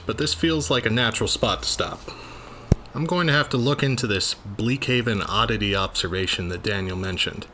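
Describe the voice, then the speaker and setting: A curious male police officer played by an actor muses over an old journal in an audio drama. His voice is fairly low and bright, with faint background noise. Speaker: narrator. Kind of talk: scripted first-person audio drama narration.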